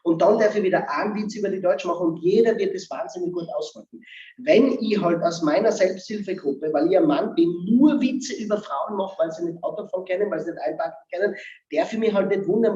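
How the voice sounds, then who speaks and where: A man with an Austrian accent talks about humor in a lively, joking way with a mellow voice. His delivery is emphatic and animated. The sound is roomy and somewhat dull. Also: high voice, fast talker. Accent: Austrian German. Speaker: humor speaker and seminar guest. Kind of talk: lively casual talk-show chat.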